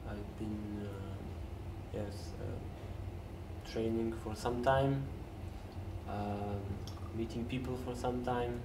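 A man with a Serbian accent talks casually and haltingly, feeling for his words in English. His voice is picked up away from the mic in a reverberant room, with faint noise behind it. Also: lowish voice, flat delivery, recorded from a distance. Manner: hesitant, searches for words in non-native English. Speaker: interview guest, parkour coach. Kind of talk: casual interview conversation. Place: large empty café-like room, at a table indoors.

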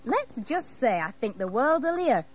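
A woman's voice: fairly high pitch, sing-song, measured pace. An actress playing a plain-spoken, saucy servant woman in an audio drama, folksy and gossipy. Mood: gossipy, wistful.

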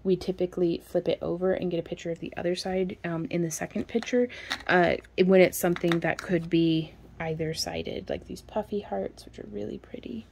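Woman, lowish gravelly voice, rambling show-and-tell shop chat, noisy home recording. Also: steady tone, moderate pace. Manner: chatty, rambling, laughs often. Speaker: craft shop owner. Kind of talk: casual product show-and-tell. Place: home craft workspace, indoors.

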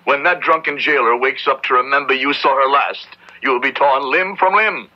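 A man's voice: mid-range voice, moderate pace, natural voice. A man playing a grave, devout Puritan judge in a radio drama, speaking in formal, solemn oratory. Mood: solemn, resolute. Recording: dull, band-limited old radio recording.

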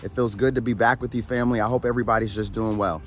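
A man with a hoarse voice, sounding like he is losing it, tired but energetic as he gives a motivational talk to camera, addressing viewers as "family" and checking in with "all right?". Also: mid-range voice, very fast. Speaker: motivational YouTube host and coach. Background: background music.

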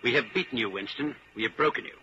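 An actor in a 1940s radio drama playing a coldly controlled party inquisitor, patient, precise and menacing. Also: male voice, mid-range voice, quick pace, close to an everyday voice. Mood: cold, triumphant. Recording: dull, noisy old 1940s radio recording.

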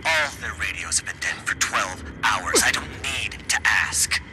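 A man's voice: lowish voice, animated, unhurried. A man playing a guarded, dutiful team commander in a video game, speaking in terse, formal radio phrases. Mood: guarded, subdued. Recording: game music behind.

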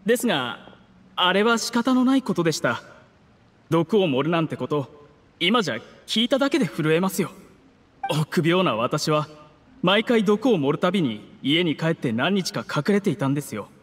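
A man's voice: on the high side, sing-song, moderate pace, big-room sound. A man voicing a timid, deferential male poisoner in an anime video game, humble and polite. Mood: nervous, apologetic.

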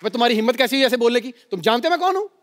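Cocky snooty customer, very high voice, live sketch show, audience behind. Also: male voice, lively intonation, rapid-fire, over music, crowd noise. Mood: mocking. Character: cocky, haughty young male café customer. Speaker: sketch comedian. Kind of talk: broad stage sketch comedy. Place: large indoor TV studio stage with audience. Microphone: headset. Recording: noisy TV studio with audience.

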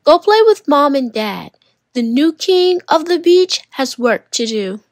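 A woman's voice: high-pitched, big pitch swings, unhurried, dry recording, pitched above the performer's own voice, exaggerated voice. A woman voicing a confident, bossy young boy in a children's storybook, with a self-important, emphatic manner. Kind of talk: children's storybook read-aloud character.

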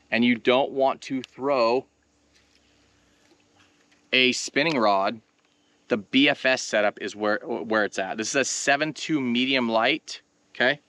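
A man with a bright, forceful voice at a medium pitch, presenting a hands-on tutorial as host. He talks informally and directly to his viewers, and the sound is dry and close. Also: no echo. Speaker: host of a fishing tutorial channel. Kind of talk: casual instructional demonstration talk.

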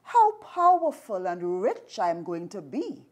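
A woman's voice: on the low side, wildly swooping pitch, unhurried, voice pushed down, heavily acted. A woman reading aloud the lines of a greedy, scheming male spider-man trickster in a children's story. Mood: gloating.

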